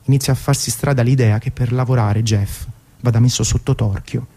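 A man's voice: mid-range voice, fairly even intonation, a little fast, dark tone. A man, reading a scripted music documentary monologue in a theatrical, storytelling way with colloquial asides. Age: 30s. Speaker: radio presenter. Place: radio broadcast.

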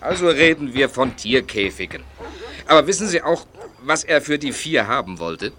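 A man playing a gruff, scornful customer who haggles brusquely and stammers when flustered, in a German audio drama. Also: mid-range voice, very animated, moderate pace, bright, forceful tone. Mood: smug, insistent.

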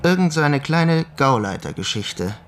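A man's voice: fairly high pitch, sing-song, moderate pace. An actor playing a probing, matter-of-fact male investigator in a radio drama, inquisitive and businesslike in his way of talking. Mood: concerned, businesslike. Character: brisk, probing male investigator questioning scientists.

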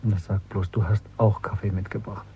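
A man playing a reserved, wary secret lover in his thirties in a German radio play, with a husky voice. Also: fairly low pitch, little pitch movement, normal speed. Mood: tender. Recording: dull old radio recording.

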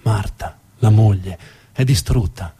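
A man's voice: on the low side, slow, dark tone, slightly rough. A man narrating as a stage storyteller, dramatic in delivery and repeating words for emphasis.

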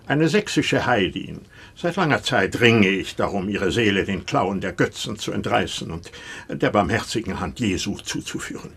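Wrathful medieval village priest, husky voice, wildly swinging pitch, pompous, stern, domineering, radio drama. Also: male voice, lively intonation, normal speed, a bit bright, heavily acted. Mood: indignant, pompous. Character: wrathful, domineering pious medieval clergyman father. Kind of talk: German radio play acting.

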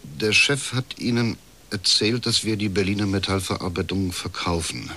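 Casting: uneasy whistleblowing bureaucrat for radio crime drama. Low, husky, bitter and confiding. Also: male voice, normal speed, sharp tone, full character voice. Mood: dry, bitter. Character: disillusioned, chain-smoking male privatisation official, uneasy whistleblower.

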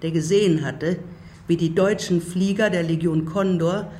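An actor playing a bitter old civil war veteran who speaks in measured, emphatic statements in a radio drama. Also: male voice, high-pitched, steady tone, a little mellow, close to an everyday voice. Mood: grave, bitter.

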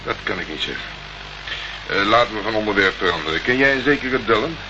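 An actor playing a terse, dogged private detective in a Dutch radio play, asking clipped, probing questions. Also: male voice, fairly low pitch, animated, fast talker, natural voice. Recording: noisy, muffled old radio recording.

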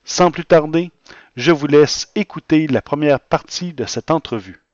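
A male podcast host speaks at a slow pace and a mid pitch. His phrasing is earnest and rather florid, and the recording is very dry and slightly dull. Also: dry recording. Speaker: podcast segment host and interviewer. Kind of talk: scripted podcast presentation and informal interview.

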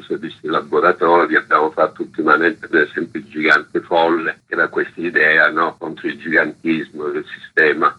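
Very deep, bright, husky man on radio. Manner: discursive, often ends phrases with a checking tag. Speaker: interview guest, representative of a self-managed arts centre. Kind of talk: radio interview, explanatory talk. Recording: dull lo-fi radio interview audio.

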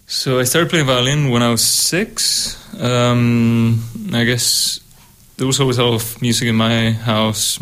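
A man's voice: medium pitch, a bit bright. Young Spanish-accented man, hesitant. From Spain. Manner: hesitant, many ums. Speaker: guest composer, co-host. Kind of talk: casual radio conversation. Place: radio studio.

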